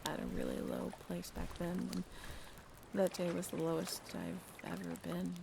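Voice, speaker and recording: A woman playing a shy, earnest half-mermaid in an intimate audio roleplay, hesitant and soft-spoken, often slipping into breathy whispers. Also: on the low side, wildly swooping pitch, unhurried, background noise, heavily acted. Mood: vulnerable, confessional.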